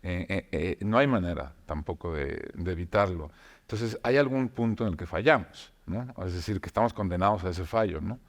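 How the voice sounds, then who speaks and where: A man, a novelist, talking reflectively about writing, with frequent pauses and restarts. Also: low-pitched, expressive. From Mexico. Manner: reflective, many filler hesitations and restarts. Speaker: novelist guest. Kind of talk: conversational talk show discussion.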